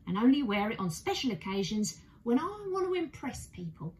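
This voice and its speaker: Woman acting an excitable housekeeper, swooping pitch. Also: moderate pace, a little hiss or hum. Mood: delighted. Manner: exclamatory, delighted. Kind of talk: children's storybook reading.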